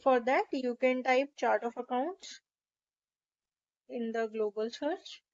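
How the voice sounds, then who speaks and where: A woman narrating a step-by-step software tutorial in a methodical, instructional way. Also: on the high side, slow, lo-fi sound.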